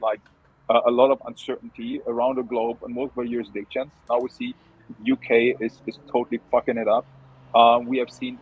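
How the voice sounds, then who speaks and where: Husky blunt man on lo-fi audio. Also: medium pitch. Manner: blunt, swears, many restarts. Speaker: co-host. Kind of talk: casual live chat.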